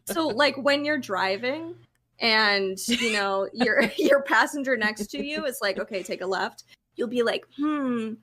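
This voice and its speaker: A woman's voice: a little high, expressive, measured pace, crowd noise. A woman co-hosting a podcast with casual banter. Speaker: podcast co-host.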